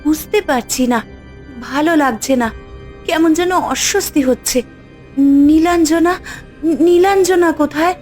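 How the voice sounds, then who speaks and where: Protective fairy mother, very high pitched, emotional and dramatic fairy-tale cartoon delivery. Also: measured pace, pauses often, a little mellow, faint music behind. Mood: uneasy, worried.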